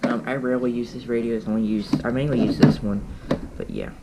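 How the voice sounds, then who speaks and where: A man's voice: medium pitch, fast, vocal fry. A man casually narrating a show-and-tell of his collection in a rambling, offhand way, recorded indoors at home. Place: indoors, room at home with radios. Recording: noisy amateur room recording with clicks.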